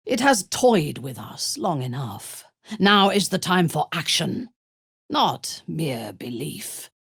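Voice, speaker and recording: Female drow zealot, fantasy game, husky, very animated intonation, ruthless, haughty, menacing. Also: deep voice, dry recording, studio quality, full character voice. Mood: commanding. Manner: imperious, menacing, theatrically deliberate delivery. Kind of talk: scripted fantasy video game voice lines.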